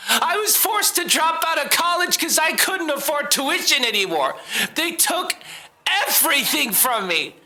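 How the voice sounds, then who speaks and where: An actor playing a defensive young man in an audio drama, halting and sweary, insisting he is innocent. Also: very high voice, sing-song, sharp tone, exaggerated voice. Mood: desperate, angry. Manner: halting, sweary, emotional delivery.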